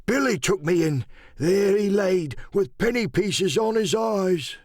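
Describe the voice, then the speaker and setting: A grumbling old pirate for an audiobook, superstitious and full of rustic sailor talk. The voice is pitched high and theatrical, recorded dry and close. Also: male voice, expressive, measured pace, darkish tone, no echo, exaggerated voice. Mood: spooked. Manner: rustic seafaring dialect, theatrical. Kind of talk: audiobook character acting.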